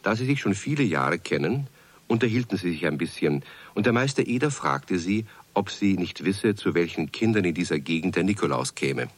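An actor narrating a children's radio play as a calm storyteller. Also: male voice, low-pitched, normal speed, dry recording.

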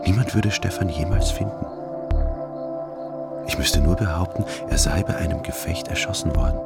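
A brooding first-person narrator with a very deep, gravelly voice. He tells his story in a sober, introspective way over clearly audible music, as in a radio play. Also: normal speed. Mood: cold, brooding. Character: brooding, detached first-person male narrator, coldly ruminating.

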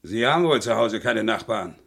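Gravelly, surly murder suspect. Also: male voice, fairly low pitch, lively intonation, normal speed, fairly bright tone, exaggerated voice. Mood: surly, defensive. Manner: curt, defensive, dismissive. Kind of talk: vintage German crime radio play acting.

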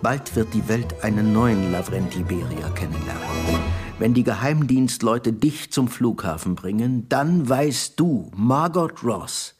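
An actor playing a power-hungry, insistent Soviet secret police chief in a radio drama. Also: male voice, fairly low pitch, animated, measured pace, darkish tone, slightly rough, faint music behind, full character voice. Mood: cold, grandiose. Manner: insistent, demanding.

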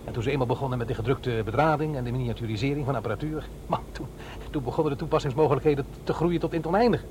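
An actor playing a middle-aged divorced father in a radio drama, explaining himself in a halting, defensive way. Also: mid-range voice, a little mellow, natural voice. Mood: earnest, explaining. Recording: clear vehicle noise behind voice. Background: car driving ambience.

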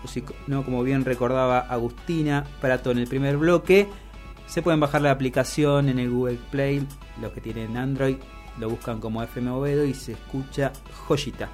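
A man's voice: mid-range voice, fairly even intonation, moderate pace, darkish tone. A man with an Argentine Rioplatense accent co-hosting a radio show, talking casually and making announcements. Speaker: radio co-host. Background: music.

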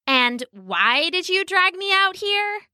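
A woman's voice: very high-pitched, sing-song, slow, bright, forceful tone, no echo, clean studio sound, voice pushed up, heavily acted. A woman voicing a flustered, impatient older sister in a children's story, sputtering and indignant. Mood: annoyed.

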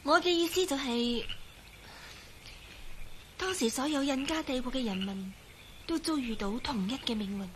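An actress playing a composed, brooding, resigned young woman in a Cantonese radio drama, with a Hong Kong accent and measured delivery. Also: sits high, background noise, close to an everyday voice. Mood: grim, composed. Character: eerily composed, resigned young woman turned invisible skeleton.

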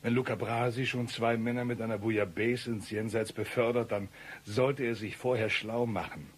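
An actor in a comic radio play, playing an excitable, blustering gunman out for revenge, with a theatrical, rough delivery. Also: mid-range voice, moderate pace, lightly acted. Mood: menacing, scornful. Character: excitable male gunman avenging his brothers, melodramatic and absurd.

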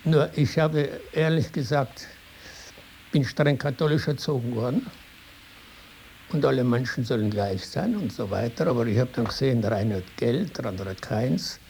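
An older man with a Tyrolean Austrian accent, recalling his life in spontaneous, halting, rambling talk. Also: mid-range voice, animated, gravelly, a little mellow. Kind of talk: spontaneous oral reminiscence. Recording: hissy archival interview tape.

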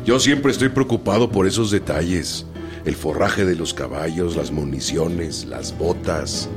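Really low voiced mexican rebel colonel, blunt and folksy, radio drama with loud music behind. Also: male voice, unhurried. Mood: frank, insistent. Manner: frank, folksy.

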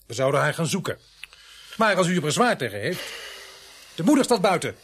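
A gruff local police sergeant in a radio play. He is dry and sarcastic, with a husky, hard-edged voice and animated inflection. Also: fairly high pitch, brisk, full character voice. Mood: pointed, insistent. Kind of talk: Dutch radio drama acting.

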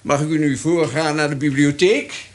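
An actor playing a courteous, deferential house agent in a period radio drama, speaking politely and formally. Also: male voice, on the high side, fairly even intonation, moderate pace, long reverb tail, close to an everyday voice. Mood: obliging. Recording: noisy old radio drama recording.

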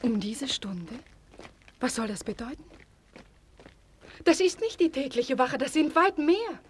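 An actress playing a spirited young woman in love and torn between two men, theatrical and breathy, often dropping to a near-whisper, in a vintage German radio play. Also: high-pitched, unhurried, slight background noise. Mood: curious, urgent. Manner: theatrical, emotionally expressive. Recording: vintage 1949 radio recording.

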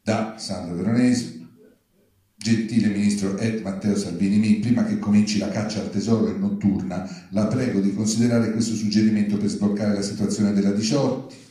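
A man's voice: on the low side, fairly even intonation, normal speed, dark tone. A man giving a live public reading of satirical letters in a formal, mock-polite, dry manner. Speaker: reader at a public literary reading. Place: large reverberant theatre venue. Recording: very echoey stage, distant microphone.

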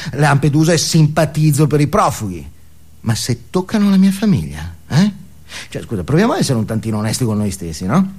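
A man's voice: mid-range voice, a little mellow, lightly acted. A male stage actor playing a ranting, self-justifying, foul-mouthed family man in a satirical comic monologue, agitated and forever tagging his sentences with questions. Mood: defensive, menacing. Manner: agitated, swearing, punctuates with "no?" and "eh?".

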